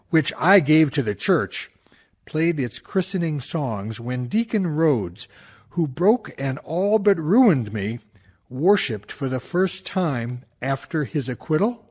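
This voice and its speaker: A man playing an indignant, bitter dead townsman who declaims reproachfully in a husky voice, as in a radio play. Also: fairly high pitch, lively intonation, slow pace, darkish tone, heavily acted. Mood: bitter reproach. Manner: declamatory, emphatic. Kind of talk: theatrical radio-play oratory.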